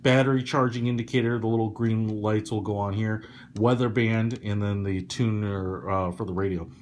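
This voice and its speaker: A man's voice: lowish voice, fairly even intonation. Man demonstrating a gadget over background noise. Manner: explanatory, measured, hedging opinions. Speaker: product reviewer. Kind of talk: casual hands-on gadget demonstration.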